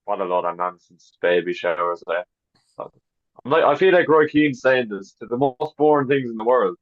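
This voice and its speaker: Male podcast co-host, mid-pitched, deadpan teasing and mock complaining, dead-dry muffled sound. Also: moderate pace, no echo. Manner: dry joking, mock grumbling. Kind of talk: casual chat over a video call.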